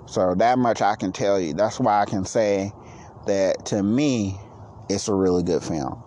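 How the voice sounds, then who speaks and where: A man in his late thirties hosting a casual solo podcast, who says he is low on energy and talks in a rambling, halting way with many restarts. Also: mellow, slight background noise. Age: 30s. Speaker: podcast host.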